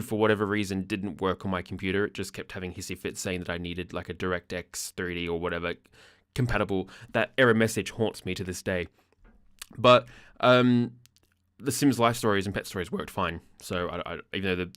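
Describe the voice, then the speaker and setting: A young man with a croaky, gravelly voice from a sore throat, rambling casually with lots of ums and laughs over a game build. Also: low voice, a bit bright, no room sound, studio quality. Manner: rambling, self-interrupting, frequent ums and laughs. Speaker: gaming YouTuber.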